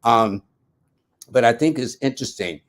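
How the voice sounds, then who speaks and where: A man's voice: mid-range voice, expressive, normal speed. A man hosting an interview, asking rambling, conversational questions. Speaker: podcast host.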